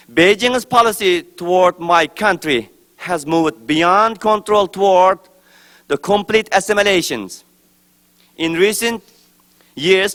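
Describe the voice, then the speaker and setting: Man, formal speech, slow, deliberate, fairly high-pitched. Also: fairly bright tone. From East Turkestan. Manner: slow, deliberate and emphatic, trailing off at phrase ends. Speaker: speaker at a press event.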